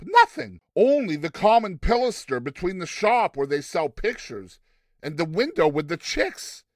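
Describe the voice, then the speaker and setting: A man reading a classic story aloud as a theatrical, expressive narrator with deliberate delivery. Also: a little high, dry recording, clean studio sound. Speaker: narrator, reader.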